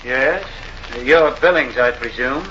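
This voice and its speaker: An actor playing a polite, well-off gentleman hunter, courteous and precise, in old radio drama style. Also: mid-range voice, slow pace. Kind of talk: 1940s radio drama acting. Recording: dull old radio recording, faint noise.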